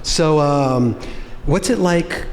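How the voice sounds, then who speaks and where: A man hosting a casual interview, animated and quick with affirmations. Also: a little high. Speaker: host. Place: live event hall. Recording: reverberant hall with faint background noise.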